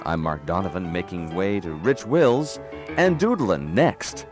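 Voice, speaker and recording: Deep-voiced male radio host over music. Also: lively intonation, unhurried. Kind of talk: scripted radio sign-off announcing.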